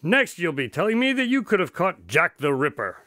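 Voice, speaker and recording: A man acts out a wry doctor and storyteller for a radio drama. His voice is bright and rather high, swinging with exasperated emphasis. The sound is close and dry. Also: big pitch swings, brisk, no room sound, full character voice. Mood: exasperated banter.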